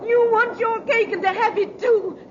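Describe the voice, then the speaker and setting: An actress playing a desperate spurned mistress in a 1950s radio drama, shrill and tearful, on a muffled old recording. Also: female voice, steady tone, moderate pace, a loud crowd. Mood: bitter, tearful. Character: desperate, weeping spurned mistress pleading with her lover.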